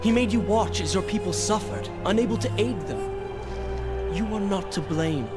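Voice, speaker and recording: An actor playing a proud, vengeance-driven young prince who speaks intensely and with command. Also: male voice, high voice, animated, moderate pace, over loud music. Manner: intense, commanding, turns to strained shouting.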